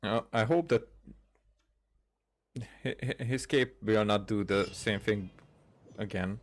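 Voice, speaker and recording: Male streamer, young, low voice, halting off-the-cuff game commentary. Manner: halting, repeats words. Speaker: let's player streaming a playthrough. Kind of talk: casual game commentary, reading some dialogue aloud.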